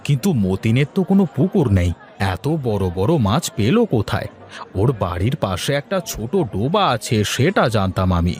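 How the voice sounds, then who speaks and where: A man's voice: on the low side, very animated, moderate pace, darkish tone. A man playing an easygoing, chatty village street-food seller in a children's cartoon, with a folksy, conversational manner. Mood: curious, agreeable. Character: village fuchka-selling husband, easygoing, chatty, hopeful. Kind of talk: Bengali children's cartoon dubbing.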